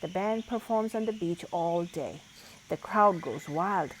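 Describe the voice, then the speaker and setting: Low-pitched woman reading a children's story. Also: a little slow, slight background noise. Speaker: read-aloud storyteller. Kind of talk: scripted children's picture book narration.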